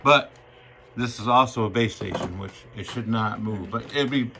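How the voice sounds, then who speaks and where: Guy w/ a creaky lowish voice showing how gear works, folksy n rambly, bit of background noise. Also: normal speed. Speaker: radio hobbyist demonstrating equipment. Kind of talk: casual hands-on explanation.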